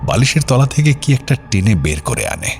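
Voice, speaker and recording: A man narrating a scripted audio drama in a measured, somber storytelling manner. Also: mid-range voice, sing-song, a little mellow, soft background music.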